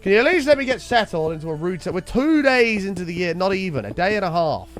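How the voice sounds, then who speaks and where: A man streaming a game, chatting casually and wryly with his viewers while his voice is hoarse and sore. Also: sits high, big pitch swings, fast, faint music behind. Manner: bantering, wry, teasing chat members.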